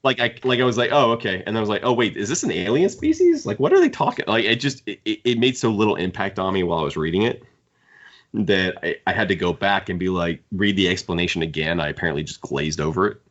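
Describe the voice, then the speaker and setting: A man's voice: low voice, sing-song, quick pace, muffled recording. A man co-hosting a podcast, giving critical opinions in casual book discussion. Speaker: podcast host.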